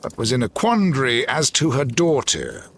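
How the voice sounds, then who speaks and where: An actor playing a grim, vengeful old general in a gothic audio drama, speaking formally and commandingly. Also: male voice, medium pitch, huge pitch swings, moderate pace, fairly bright tone, a little hiss or hum. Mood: grave, haunted.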